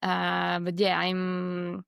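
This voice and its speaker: A woman's voice: on the low side, completely flat delivery, extremely slow, creaky, a bit bright, no echo. Spanish-accented woman, hesitant and dry. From Spain. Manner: hesitant, many fillers, laughs often. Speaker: guest. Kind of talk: casual interview.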